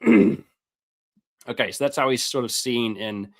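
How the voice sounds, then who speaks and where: A man's voice: big pitch swings, unhurried. Man reading scripture on a live stream. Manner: reads scripture aloud then comments, rises in pitch when fervent; casual asides, repeats words, clears throat. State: voice going hoarse. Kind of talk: live-stream Bible teaching, reading passages aloud.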